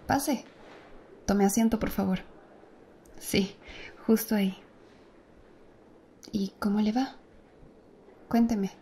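A woman acting as a calm, attentive female doctor in an ASMR roleplay, speaking softly and close to the listener. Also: medium pitch, a little hiss or hum. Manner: soft, close-up ASMR speech, gently addressing the listener.

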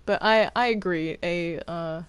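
A woman's voice: lowish voice, unhurried, clean tone, no echo. A woman chatting casually while playing a game, hesitant and stammering, often sounding apologetic. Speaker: gamer playing a multiplayer game.

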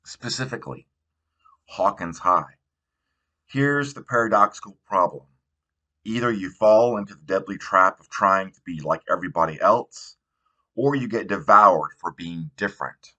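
Male audiobook narrator, slightly low pitch, bright forward tone, reading teen fiction. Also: normal speed. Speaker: audiobook reader. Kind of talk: read narration of a young adult novel.

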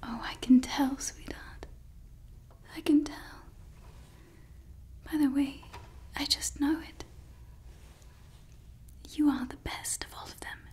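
A woman's voice: high voice, fairly even intonation, takes it slowly, long pauses. A woman whispering as a doting evil scientist. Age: young adult. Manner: soft close whispering, sweetly possessive. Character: doting yet menacing female evil scientist. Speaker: ASMR creator. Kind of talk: ASMR roleplay. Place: indoors. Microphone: close studio mic.

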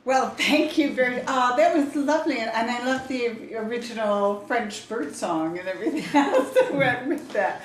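An older British woman hosts an event from across an echoey room. Her voice is medium in pitch, picked up at a distance with noise behind it. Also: normal speed, hardly any pauses. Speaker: event host. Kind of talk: live introduction. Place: indoors in a formal parlour. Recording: echoey room, distant mic, background hiss.

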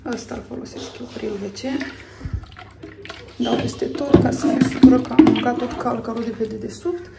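A woman in her thirties from Romania, chatting casually through her cleaning while filming on her phone at home, pausing often with fillers as she works. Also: on the high side, fairly even intonation, gravelly, over loud music. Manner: chatty, talks while doing chores, frequent pauses and fillers. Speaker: family vlogger. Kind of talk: casual clean-with-me vlog narration. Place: family home, indoors. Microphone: phone camera.

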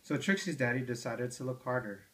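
A man's voice: mid-range voice, a little fast, fairly bright tone. A father reading picture books to his toddler at home, playful and coaxing, asking her questions about the pages. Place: living room armchair at home.

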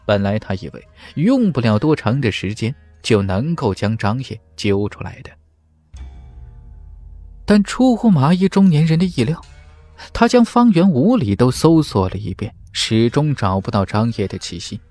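Animated male audiobook narrator over music. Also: mid-range voice, normal speed, no room sound. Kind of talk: dramatic scripted narration of a fantasy novel. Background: background music.

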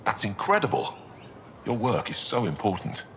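An actor playing a humble, devoted alien soldier in a video game, speaking tenderly and sincerely. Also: male voice, on the low side, wildly swooping pitch, moderate pace, fairly bright tone, slight background noise. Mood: tender, admiring.

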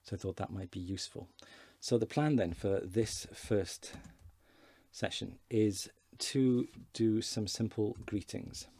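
A man in his forties, a fluent Welsh speaker, giving a relaxed, chatty beginner lesson from his desk with hesitations and repeated words. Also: on the low side, slow pace, breathy edge. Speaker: Welsh language teacher. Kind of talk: casual online lesson.

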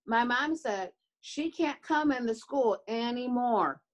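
Woman playing a higher-voiced chatty puppet child. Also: animated, slow pace. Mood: sincere, proud. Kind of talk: children's puppet show on video call.

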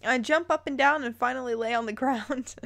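Playful woman reading aloud, higher voice. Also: a little fast, vocal fry, a bit bright. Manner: playful, incredulous asides. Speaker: YouTuber reading fan fiction aloud. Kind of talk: dramatic reading with bemused commentary.

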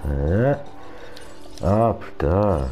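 A man's voice: sits low, lively intonation, very slow, warm tone, soft background music. A man with a cold giving casual, muttered commentary over a game and swearing at setbacks. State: has a cold. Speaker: gaming channel host.

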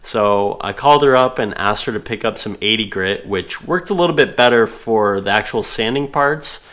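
Male host, 30s, slightly low voice, easygoing filler-heavy vlog chat. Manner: relaxed, chatty, lots of fillers and laughs. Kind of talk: casual to-camera vlog update. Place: living room, seated on couch indoors.

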